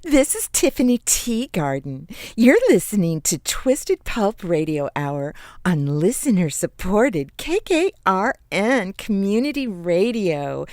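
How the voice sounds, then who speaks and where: Slow, animated woman giving station ID. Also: mid-range voice, dry recording, studio quality. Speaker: radio station identification presenter.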